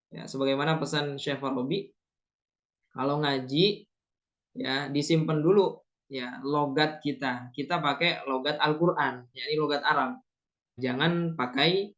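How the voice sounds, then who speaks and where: A man from Indonesia giving a training lecture on Quran reading, his voice sitting a little higher than most men's. He explains things step by step and keeps dropping short affirming fillers between points. The recording sounds dull and muffled, with the highs rolled off. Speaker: Quran recitation teacher. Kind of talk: lecture at a teacher training course.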